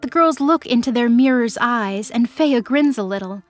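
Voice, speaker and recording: A woman narrates a story in a scripted, dramatic audio-drama style. Her voice sits a little high, and faint music plays behind it. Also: moderate pace.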